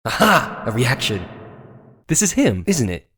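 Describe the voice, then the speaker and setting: A man's voice: mid-range voice, huge pitch swings, measured pace, a bit bright, breathy edge, heavily acted. A man voicing an eager young defense lawyer in a theatrical video game fan dub, dramatic, with wry, exasperated asides. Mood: triumphant, pressing.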